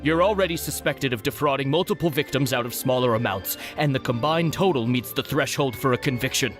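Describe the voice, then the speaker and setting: A man playing a polite but firm officer of the law in a video game, brisk and officious. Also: medium pitch, a bit bright, natural voice. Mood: firm, official. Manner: officious, businesslike. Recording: game music clearly behind.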